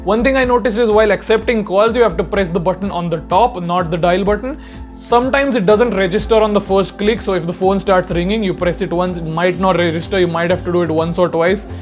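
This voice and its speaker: A man's voice: high-pitched, steady tone, fairly quick, faint music behind. A young man giving a casual, explanatory product review in an informal way. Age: young adult. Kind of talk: casual spoken review to camera.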